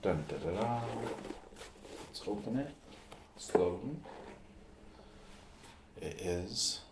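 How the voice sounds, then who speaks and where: Deep voiced guy doing asmr roleplay as a friendly amateur sporty type, halting short phrases, echoey room w noise behind him. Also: expressive. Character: Joe, friendly amateur basketball player and recovery expert. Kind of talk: ASMR roleplay, demonstrating objects. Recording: roomy echo, clear background noise.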